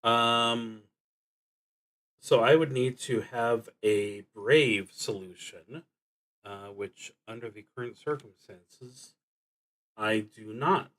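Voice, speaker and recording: A man plays a solo roleplaying game on stream at a slow, unhurried pace. His voice sits at an average male pitch. He thinks aloud and reads out cards, often halting or trailing off as he works through the rules. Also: fairly bright tone, poor audio. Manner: halting, trailing off while working things out.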